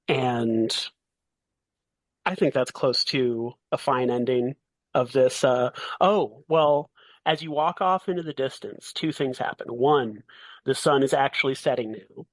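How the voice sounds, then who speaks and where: A man acting as game master, narrating a tabletop game in a casual way with a halting, deliberate delivery. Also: medium pitch, no echo, low-quality recording.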